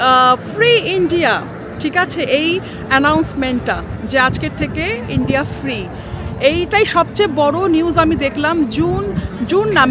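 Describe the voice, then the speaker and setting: A woman with an Indian Bengali accent, narrating a vlog as if talking straight to her viewers. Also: sits high. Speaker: travel vlogger. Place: outdoors in a city square and voice-over.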